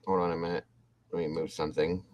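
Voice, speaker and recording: A man's voice: deep voice, level tone, fast talker, a little mellow. A man hosting a casual livestream, rambling and restarting his sentences as he talks to his viewers. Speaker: livestream host. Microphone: computer mic on a livestream.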